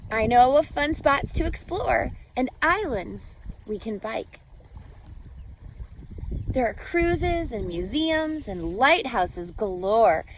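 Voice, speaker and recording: High-voiced woman reading to children. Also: slow, a little hiss or hum. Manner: expressive, asks listeners questions. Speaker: teacher reading aloud to children. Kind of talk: picture book read-aloud with commentary.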